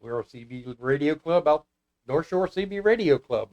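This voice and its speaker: A man's voice: fairly high pitch, lively intonation, normal speed, darkish tone, muffled recording. Chatty American man telling anecdotes. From United States. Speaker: guest, CB radio club founder. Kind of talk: casual storytelling interview.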